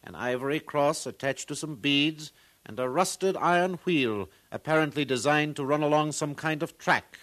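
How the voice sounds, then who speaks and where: An actor playing a learned, proud museum curator with formal, professorial speech in a 1950s radio drama. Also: male voice, a little high, normal speed, a bit bright, natural voice. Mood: scholarly, pleased.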